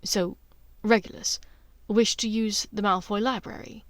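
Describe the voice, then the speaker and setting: A woman voicing a reserved, inquisitive young wizard in an audiobook, measured and probing. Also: medium pitch, steady tone, no room sound, natural voice. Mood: curious, probing. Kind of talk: audiobook character dialogue.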